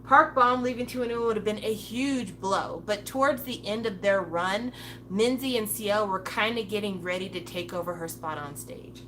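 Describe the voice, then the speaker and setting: A woman's voice: medium pitch, normal speed, a bit bright, slight background noise, low-quality recording. A woman giving a casual, blunt opinion monologue, swearing freely and breaking into playful impressions of other people. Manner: acts out playful voices of others. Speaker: commentator.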